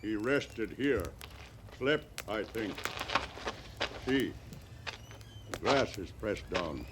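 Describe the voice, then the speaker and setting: A man's voice: on the low side, lively intonation, drawn-out words. An actor playing a weary, proud Cheyenne band leader and bereaved father in a radio western, speaking solemnly in formal, simple phrases. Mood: calm, watchful. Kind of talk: 1950s radio western acting. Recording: noisy vintage radio western recording.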